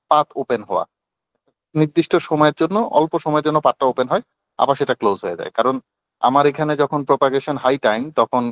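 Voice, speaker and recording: A man's voice: mid-range voice, no echo. A man with a Bangladeshi accent teaching informally on an online call, explaining step by step with examples. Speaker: instructor.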